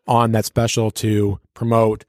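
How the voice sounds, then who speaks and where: A man's voice: fairly low pitch, monotone, unhurried, darkish tone, dry recording, clean studio sound. A man who works as a radio personality and DJ, telling stories casually and colloquially with a showman's turn of phrase as an interview guest. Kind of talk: casual storytelling in a sit-down interview.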